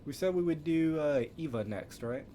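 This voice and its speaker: A man's voice: on the high side, expressive, normal speed, mellow, a little hiss or hum. A man livestreaming a video game with casual, chatty, offhand commentary and some mild swearing. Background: video game music and sound.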